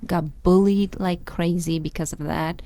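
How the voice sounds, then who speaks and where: A woman's voice: fairly low pitch, a little slow. A woman from Afghanistan, a podcast guest telling her life story candidly and animatedly. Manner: animated, acts out voices in her stories.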